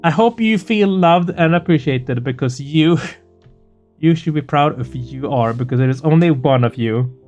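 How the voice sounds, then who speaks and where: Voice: medium pitch, normal speed, darkish tone, muffled recording, soft background music. A gaming video host chatting casually and effusively, earnest and prone to swearing. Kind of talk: casual excited chat after a game playthrough.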